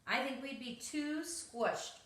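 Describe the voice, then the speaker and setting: A woman voicing a young, kind-hearted girl mouse from a storybook in a pleading, expressive manner. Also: high voice, slow pace, pitched above the performer's own voice, exaggerated voice. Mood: worried. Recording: roomy, echoing home recording.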